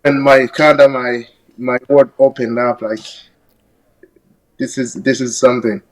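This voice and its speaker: A young man from Burundi, a podcast guest, talking over his life in a halting, reflective way full of fillers. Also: mid-range voice, fairly even intonation, rough recording. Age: young adult. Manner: reflective, halting, many "you know" fillers. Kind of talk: casual conversation.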